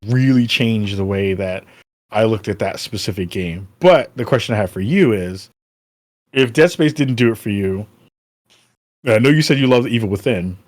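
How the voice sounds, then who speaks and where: A man in his forties hosting a casual podcast chat, easygoing and quick to laugh. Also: on the low side, a little mellow, no echo, studio quality. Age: 40s. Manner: laid-back, quick to laugh. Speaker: podcast host.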